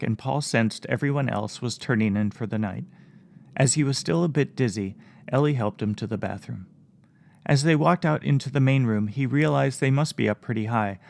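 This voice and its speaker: A man narrating a fantasy story in a calm, measured way. His mid-pitched voice has a gravelly texture, and the recording sounds dry and close. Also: no echo. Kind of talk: audiobook narration.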